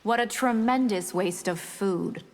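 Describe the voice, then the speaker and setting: An actress playing a haughty, predatory vampire in a fighting game, cool and disdainful. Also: female voice, medium pitch, a little slow, slight background noise, close to an everyday voice. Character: haughty, predatory female vampire, coolly menacing. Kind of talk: fighting game character dialogue. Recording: long reverb.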